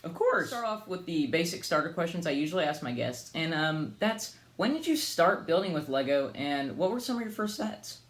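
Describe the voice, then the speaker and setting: A man's voice: on the high side, animated, normal speed, fairly bright tone. Young male podcast host. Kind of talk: casual interview hosting.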